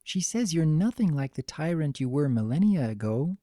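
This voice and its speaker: A reader voicing a gentle but firm aging female pony in a fan fiction reading, earnest in manner. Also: medium pitch, sing-song, normal speed, no echo, clean studio sound, natural voice. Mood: kindly, earnest.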